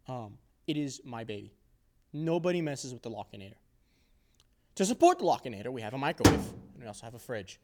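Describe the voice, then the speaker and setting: A man's voice: medium pitch, very animated, fairly quick, a little mellow, a little hiss or hum. A man in his early twenties giving a casual, off-the-cuff tour of a workshop, rambling in a jokey, self-deprecating way with playful exaggeration. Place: indoor workshop.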